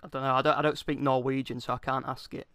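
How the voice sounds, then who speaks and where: A man's voice: mid-range voice, flat delivery, fast talker, pushed and bright, clear, resonant tone, no echo, studio quality. A British man co-hosting a casual comedic chat, irreverent and sweary, presenting bits he has looked up. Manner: laughs through speech, irreverent, sweary. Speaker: podcast co-host presenting researched facts.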